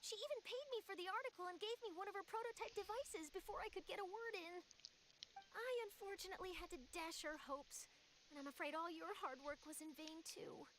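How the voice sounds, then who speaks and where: A woman's voice: very high voice, brisk. A woman playing an earnest, chirpy young female news reporter in an anime-style video game. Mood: apologetic, concerned. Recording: noisy game stream capture.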